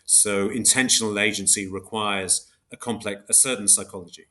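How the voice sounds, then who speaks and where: A man's voice: lowish voice, steady tone, moderate pace, sharp tone. A man explaining concepts as an academic interview guest, in a precise but hesitant way, often correcting himself. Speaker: philosopher guest, book author.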